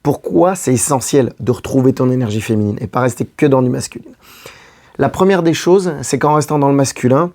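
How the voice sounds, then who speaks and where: A man with a hoarse, dark and mellow voice talks straight to his viewer like a coach giving relationship advice. He speaks casually and circles back to repeat his main points. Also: mid-range voice. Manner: addresses the listener directly, repeating key phrases. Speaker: love coach. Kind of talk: casual advice monologue to camera.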